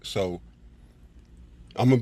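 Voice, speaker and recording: A man's voice: extremely slow, mellow, dry recording. A man preaching and commenting on scripture in an informal, emphatic way, often checking in with "all right.". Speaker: preacher and presenter.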